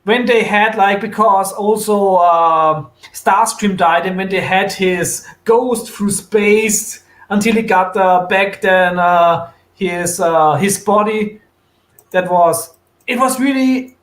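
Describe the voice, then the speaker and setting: A man chatting casually and giving opinions on a podcast panel, halting as he searches for his words. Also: high voice, fairly bright tone, echoey room.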